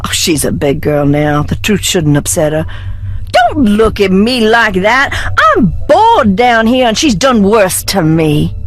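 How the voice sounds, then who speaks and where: An actress playing a bitter, mocking, unstable young heiress in hiding, with theatrical delivery in a video game. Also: female voice, mid-range voice, very animated, measured pace. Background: background music.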